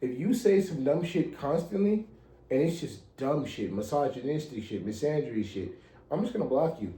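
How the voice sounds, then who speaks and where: A man's voice: mid-range voice, animated, moderate pace, warm tone, roomy sound. An American man in his thirties chatting casually as a podcast co-host, blunt and slangy with some swearing. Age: 30s. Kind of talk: casual chat.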